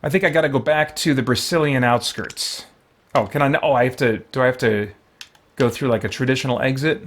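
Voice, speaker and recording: A man talks casually as he streams a game live, in a voice of middling pitch. He rambles a little and thinks out loud, keeping things informal as he explains and chats with viewers. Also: fairly quick. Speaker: live streamer, gaming channel host.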